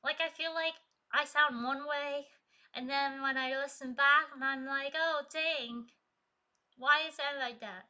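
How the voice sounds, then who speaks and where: High bright-voiced woman streamer. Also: fairly even intonation, a little slow. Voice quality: trained, projecting singing voice. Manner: chatty, laughs often, reads chat aloud. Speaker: live streamer and poet. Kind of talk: casual live-stream chat with viewers. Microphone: streaming desk mic.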